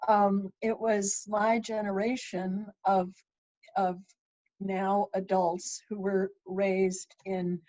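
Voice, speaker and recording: A woman in her sixties who grew up in Ethiopia, answering interview questions thoughtfully with frequent pauses and restarts. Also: mid-range voice, warm tone. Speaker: author and interviewee. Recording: dull lo-fi sound.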